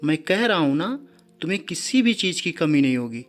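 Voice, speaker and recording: Domineering middle-aged storybook father, played by the male narrator, insistent, slightly high, light music bed. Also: big pitch swings, normal speed. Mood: coaxing, insistent. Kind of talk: storybook character dialogue. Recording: background music under the voice.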